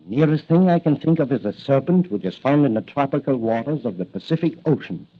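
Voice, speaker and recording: An actor playing a learned, grave professor in 1940s radio drama style. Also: male voice, mid-range voice, lively intonation, fairly quick, slightly rough. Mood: grave, explanatory. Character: learned, grave male professor explaining medical findings. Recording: muffled old radio recording.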